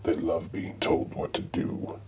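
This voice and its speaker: A man reading scripted comic narration for an audio drama in a deadpan way. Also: measured pace, faint music behind.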